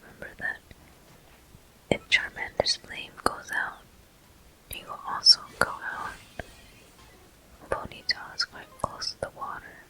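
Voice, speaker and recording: A person whispering a video game walkthrough in ASMR style, with soft, breathy, slow and deliberate delivery in short phrases. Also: low-quality recording. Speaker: gameplay host.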